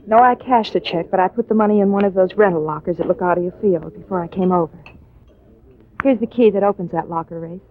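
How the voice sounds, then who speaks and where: A woman's voice: lowish voice, quick pace, natural voice. A woman acting an alluring, scheming femme fatale client in a 1950s radio drama, with a theatrical manner. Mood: confiding, afraid. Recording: muffled, hissy vintage radio recording.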